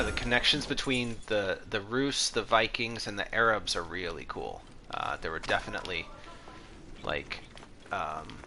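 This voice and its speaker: A man from Texas, an Egyptologist, chatting casually about history while playing a game, rambling with lots of fillers. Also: fairly low pitch. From Texas, USA. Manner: rambling, filler-heavy, thinks aloud. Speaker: Egyptologist streamer. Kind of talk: casual gaming commentary with history asides. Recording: steady background noise.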